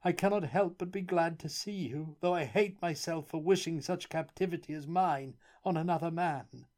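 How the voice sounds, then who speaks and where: A man voicing a miserable, guilt-ridden prisoner with a hoarse, tearful, pleading voice. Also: mid-range voice, unhurried, a little mellow, pitched above the performer's own voice, natural voice.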